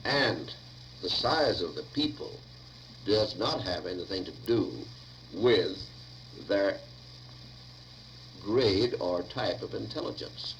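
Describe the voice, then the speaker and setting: A man dictating lecture notes aloud in a deliberate, formal way, recorded on 1950 reel-to-reel tape. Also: sits low, expressive, a bit bright, slight background noise, poor audio.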